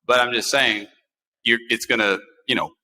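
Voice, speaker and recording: An American man in his forties hosting a talk show, chatting casually and telling personal stories in an animated way, repeating phrases for emphasis. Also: medium pitch, normal speed. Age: 40s. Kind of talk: casual chat, personal storytelling. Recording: echoey, long room reverb.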